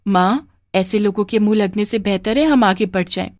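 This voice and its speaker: A woman's voice: medium pitch, moderate pace, a little mellow, no echo, clean studio sound, close to an everyday voice. Woman voicing a sensible, hopeful young daughter. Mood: reassuring. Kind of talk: Hindi animated moral story dialogue.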